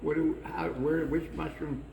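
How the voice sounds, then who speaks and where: A man's voice: fairly high pitch, fairly even intonation, a little slow, gravelly, recorded from a distance, over a noisy crowd. A man co-hosting a casual chat, asking eager, curious questions. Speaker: co-host. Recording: echoey room with people nearby.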